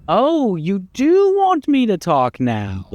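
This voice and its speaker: A man's voice: sits high, huge pitch swings, takes it slowly, no echo, muffled recording, heavily acted. A man voicing a put-upon, wry narrator in a theatrical reading of a story game script. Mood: sarcastic. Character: exasperated, put-upon male narrator relishing grisly description.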